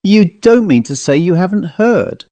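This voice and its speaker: A man's voice: sits high, expressive, a little slow, mellow, dry recording, highs cut off, voice pushed up. A man voicing a shaken, grieving brother with agitated emphasis in an audiobook story. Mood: horrified. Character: shaken old schoolfellow grieving his brother's grisly death.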